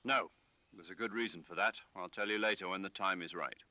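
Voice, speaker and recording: A military commander from a video game, calm and in full authority. His voice is crisp-edged and bright, recorded very dry. He briefs in a measured, instructive way. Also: low voice, dry recording. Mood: stern, wry. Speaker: mission commander giving radio briefing. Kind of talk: scripted video game voice acting.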